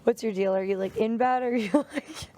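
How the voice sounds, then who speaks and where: A young woman with a raspy voice, chatting casually in a teasing way. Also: on the high side, fairly even intonation, brisk, mellow. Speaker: reality show contestant. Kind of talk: casual late-night banter.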